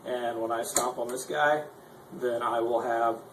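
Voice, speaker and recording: A man's voice: mid-range voice, fairly even intonation, a little slow. A man giving an informal, unscripted gear demonstration in a rambling, self-deprecating, conversational way, as the presenter of a guitar tutorial. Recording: echoey room with faint noise.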